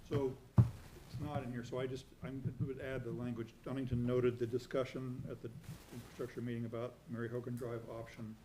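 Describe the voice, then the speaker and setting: A man on a town selectboard, speaking deliberately in a public meeting and reading proposed wording aloud. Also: medium pitch, level tone, mellow, slight background noise, poor audio. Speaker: selectboard member.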